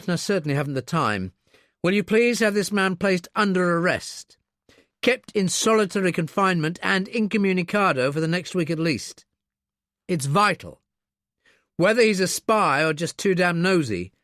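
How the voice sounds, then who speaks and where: A man's voice: high voice, normal speed, a bit bright, dry recording, voice pushed up. A man voicing a weary, steely army captain in an audiobook, with a curt, dry, firm delivery.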